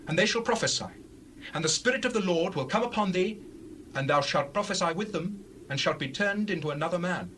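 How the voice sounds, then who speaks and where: A man playing an aged, authoritative Hebrew prophet in a dramatized scripture reading, speaking in solemn, deliberate pronouncements. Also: sits high, lively intonation, pushed and bright, slight background noise. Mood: grave, prophetic.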